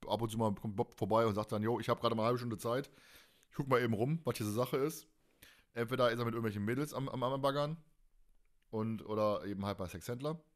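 A man's voice: mid-range voice, fast. A man hosting a casual fan podcast, talking in a colloquial, opinionated and blunt way. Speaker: podcast host.

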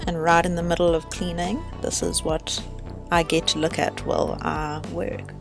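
Young woman doing a vlog voice-over, calm and slow, a little gravelly, music underneath. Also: lowish voice. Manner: easygoing, explaining. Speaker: sailing vlogger. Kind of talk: voice-over narration.

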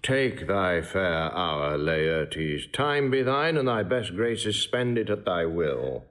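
A stately, smooth-talking king in classical stage drama. His low voice rises and falls widely as he delivers measured, formal oratory. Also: very animated, a bit bright, exaggerated voice. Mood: gracious. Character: regal, smooth-talking king, new to the throne.

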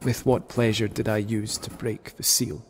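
An actor playing a dying Scottish poet, formally and earnestly reciting a letter in a radio drama. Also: male voice, on the low side, little pitch movement, close to an everyday voice. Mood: solemn, resigned. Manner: formal, measured.

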